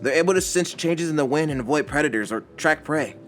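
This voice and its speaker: A man's voice: fairly high pitch, animated, quick pace, fairly bright tone, faint music behind, pitched above the performer's own voice. A man voicing a cheerful, cocky teenage boy ninja in a story reading, talking in an upbeat, casual and eager way. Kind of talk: fan fiction story reading.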